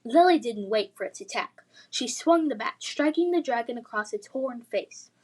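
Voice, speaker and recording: High-voiced woman narrating a fantasy story. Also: normal speed, breathy edge. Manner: expressive, suspenseful delivery. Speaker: read-aloud narrator. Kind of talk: dramatic children's fantasy narration.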